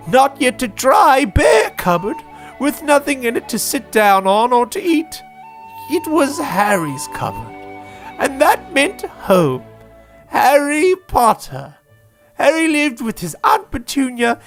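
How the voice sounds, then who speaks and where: A storyteller narrating a scripted comic audio story. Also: high voice.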